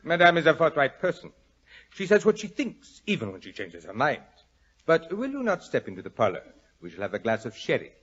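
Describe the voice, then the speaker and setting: A courtly gentleman of old radio drama, slyly suave and effusive. His husky voice swings widely in pitch colors every flourish. The recording is dull and lo-fi. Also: huge pitch swings, brisk, full character voice. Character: suave French husband, effusive host, suspected poisoner.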